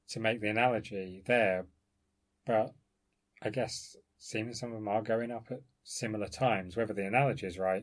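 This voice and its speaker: Male researcher, lowish pitch, informal scholarly conversation, discursive and explanatory with careful qualifications.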